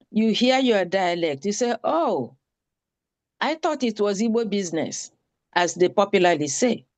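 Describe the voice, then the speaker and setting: A woman speaks slowly and deliberately, urging her listeners to join in and take part. Her voice is mid-pitched and comes across dry and close, with almost no room sound. She leans on repetition to press each point home. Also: darkish tone, dry recording. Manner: slow, pleading, repeats for emphasis. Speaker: town hall participant. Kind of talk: earnest spoken appeal at an online meeting.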